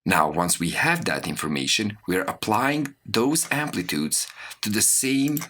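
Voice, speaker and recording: A man giving a software tutorial as an audio course instructor, explaining things step by step. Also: fairly low pitch, lively intonation, unhurried, slight background noise. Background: mouse clicks and computer keyboard typing.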